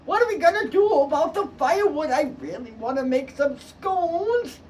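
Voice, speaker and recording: A storyteller puts on a mother who badly wants to bake, calling out high and raised. The voice sounds set a little back from the mic. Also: lively intonation, moderate pace, a little mellow, recorded from a distance, a little hiss or hum. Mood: insistent. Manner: raised, insistent calls. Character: mother set on baking scones.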